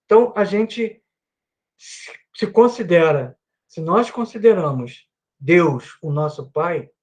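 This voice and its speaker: A Brazilian man with a high voice teaches a Sunday school class into a desk microphone. He talks earnestly and circles back on his points, adding a questioning tag to his sentences. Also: a little mellow. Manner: earnest, repeats himself with many "né" tags. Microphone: microphone with headphones at a computer.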